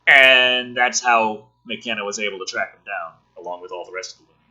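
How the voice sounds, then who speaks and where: A man's voice: on the low side, brisk, dull audio. A man reading a film script dramatically as a video host, breaking off for casual, sweary, sardonic commentary. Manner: theatrical, animated delivery.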